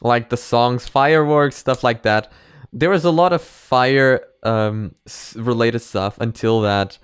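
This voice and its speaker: A man's voice: medium pitch, slow pace, clean tone, no echo, studio quality. A man hosting a reaction video, giving casual, conversational commentary.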